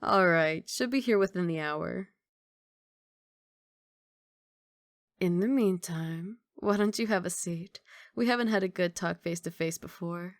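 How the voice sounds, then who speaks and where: A woman's voice: on the low side, normal speed, dry recording, studio quality, close to an everyday voice. A woman playing a confident, doting young mafia boss girlfriend in an intimate, teasing ASMR romantic roleplay. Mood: intimate, sincere. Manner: playful teasing, intimate one-sided conversation.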